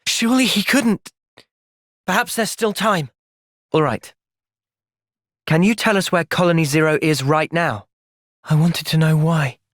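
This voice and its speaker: A man voicing an earnest, thoughtful young soldier in a video game, with an English accent and a measured, sincere delivery. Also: high voice, a bit bright, no room sound, clean studio sound. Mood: pensive, serious. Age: young adult. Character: earnest, thoughtful young male soldier, gentle but resolute. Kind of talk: video game cutscene acting.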